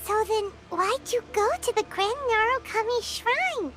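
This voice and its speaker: A woman voicing a tiny, excitable female companion creature in a video game, with a squeaky, alarmed delivery. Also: a little slow, faint music behind. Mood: curious. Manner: squeaky, high-strung, alarmed.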